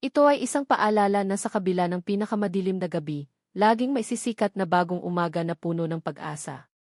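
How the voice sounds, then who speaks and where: A woman's voice: on the low side, expressive, a little fast, darkish tone, dry recording, clean studio sound. A woman reading a scripted romantic drama as a storyteller, in an earnest, sentimental manner. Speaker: storyteller and channel narrator.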